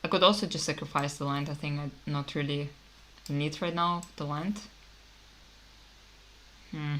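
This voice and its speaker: A woman from Kazakhstan streaming a card game, thinking aloud in a hesitant, musing way with many pauses and fillers. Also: deep voice, steady tone, a bit bright.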